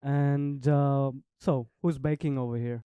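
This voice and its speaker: Young man, host, monotone and mellow, very clean, dry sound. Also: medium pitch, unhurried, smooth. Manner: rambling, upbeat. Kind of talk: casual interview hosting. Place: bakery.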